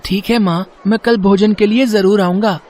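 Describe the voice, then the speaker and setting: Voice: medium pitch, fairly even intonation, moderate pace, darkish tone, close to an everyday voice. A performer voicing a small, politely insistent hungry boy, a god in disguise, in a storybook tale. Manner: polite, demanding. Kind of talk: acted storybook dialogue.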